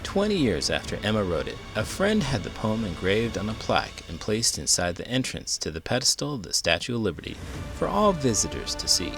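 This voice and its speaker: A man's voice: on the low side, huge pitch swings, a little fast, music in the background. A man reading a children's book aloud as a host, with dramatic, emphatic delivery. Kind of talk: expressive storybook read-aloud.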